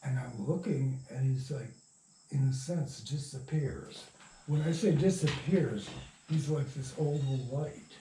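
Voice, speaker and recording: An older man telling anecdotes in an interview, halting and often interrupting himself in informal, salty language. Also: medium pitch, warm tone. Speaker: interviewee, radio drama producer. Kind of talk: rambling anecdotal storytelling in an interview. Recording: echoey, distant mic, faint noise.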